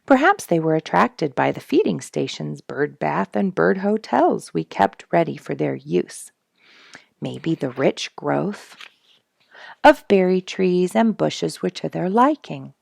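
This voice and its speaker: A woman with a low, heavily creaky voice reads a nature memoir aloud as a storyteller. Her delivery is expressive and suited to families, leaning on key words for dramatic effect. The recording is dry and close, with very little room sound. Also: slow pace, no room sound. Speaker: storyteller reading a book aloud.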